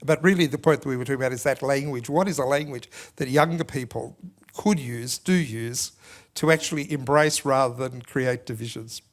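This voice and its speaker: A man's voice: medium pitch, normal speed. A man moderating a public panel, talking conversationally with some stumbling restarts. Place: public forum hall.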